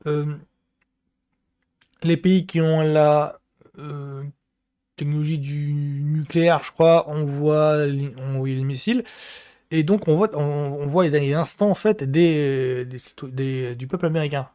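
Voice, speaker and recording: A man giving a casual solo reaction to a film trailer, thinking aloud with many pauses and restarts. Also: a little high, steady tone, dark tone, clean tone.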